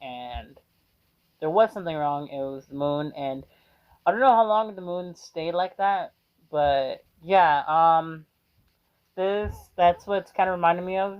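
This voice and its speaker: A man's voice: on the high side, unhurried, clear, resonant tone. Rambling young-sounding man, muffled lo-fi mic. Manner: rambling, hesitant, many fillers. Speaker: reaction video host. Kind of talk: casual rambling commentary. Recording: dull lo-fi mic.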